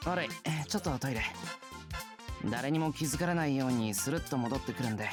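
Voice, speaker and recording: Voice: medium pitch, lively intonation, rapid-fire, close to an everyday voice. A voice actor playing an easygoing, loyal teenage boy in a Japanese visual novel, talking in a casual, offhand way over game music. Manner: casual, offhand boyish speech.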